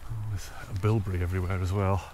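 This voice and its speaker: A man's voice: deep voice, little pitch movement, quick pace, noisy background. A man in his thirties narrating a hike outdoors, chatty and enthusiastic, filming himself at arm's length on a handheld camera. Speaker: hiking vlogger. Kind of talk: casual walking commentary. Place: outdoors on open fells and woodland paths.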